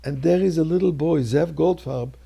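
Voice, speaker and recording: A male rabbi delivers a storytelling sermon in a very raspy, heavily creaking voice that sits a little high for a man. His delivery is theatrical and emphatic, moving between hushed near-whispers and forceful declamation. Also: moderate pace. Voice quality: husky, gravelly.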